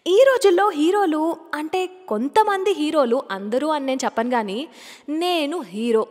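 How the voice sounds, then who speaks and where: Lively woman radio host, reverberant. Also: high-pitched, a little slow. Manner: chatty and expressive storytelling. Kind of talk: radio presenter chat.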